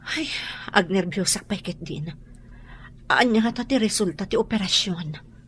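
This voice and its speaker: A woman's voice: mid-range voice, expressive, moderate pace, fairly bright tone, natural voice. A woman playing a worried, devoted mother and dutiful daughter in an Ilocano radio drama, speaking with earnest concern. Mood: anxious. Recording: noisy radio drama recording.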